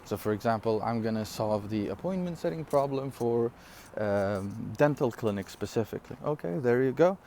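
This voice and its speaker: A man in his twenties from Syria, for whom English is not his first language, giving an energetic, rambling business pitch straight to camera with frequent fillers and laughs. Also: moderate pace, hardly any pauses, a little hiss or hum. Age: 20s. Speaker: online business coach. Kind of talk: casual direct-to-camera talk.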